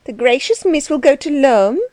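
A woman's voice: high voice, expressive, normal speed, a little mellow, no echo, voice pushed up. Woman putting on a stern, disapproving housewife. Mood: stiffly deferential. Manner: stiff, formal, stern. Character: stern, disapproving, deferential German farm manager's wife.

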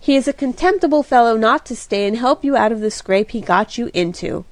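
A woman's voice: on the high side, animated, fairly quick, dry recording, lightly acted. A woman voicing a kind young man who soothes and teases lightly, in close to her own voice. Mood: earnest.

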